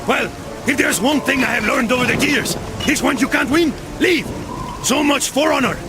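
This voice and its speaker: Very high-pitched, flippant crossbowman. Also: normal speed, music in the background. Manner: flippant, exclamatory banter. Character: boastful, wisecracking, nervous medieval crossbowman. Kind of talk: video game dialogue acting.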